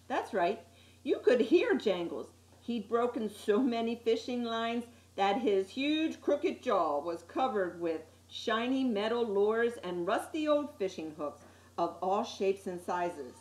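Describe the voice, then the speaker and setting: A woman reading a children's picture book aloud as an expressive storyteller, with little asides to her listeners. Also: mid-range voice. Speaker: story time reader. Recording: echoey room.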